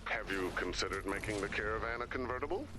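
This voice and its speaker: A man's voice: lowish voice, quick pace, lightly acted. A man playing an anxious, fussy base director in a video game, cautious and worrying as he talks. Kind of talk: animated video game voice acting.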